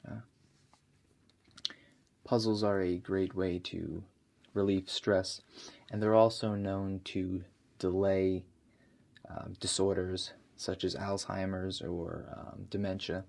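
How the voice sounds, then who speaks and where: A man playing a doctor in an ASMR roleplay, asking questions in a soft-spoken, unhurried, hesitant and reassuring way. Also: deep voice, vocal fry. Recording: steady audible background noise.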